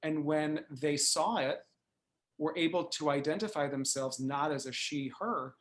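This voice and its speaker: Man, somewhat high pitch, podcast host, animated storytelling, checks in often, video call. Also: a little slow. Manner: animated storyteller, asking for agreement with "right?". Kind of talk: conversational interview.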